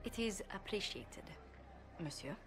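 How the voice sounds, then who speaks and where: A woman's voice: mid-range voice, lively intonation, measured pace, a bit bright, faint music behind. An actress playing a refined, earnest noblewoman diplomat in a fantasy game cutscene, polite, formal and articulate. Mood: composed. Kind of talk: scripted video game cutscene acting. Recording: long hall reverb, faint background ambience.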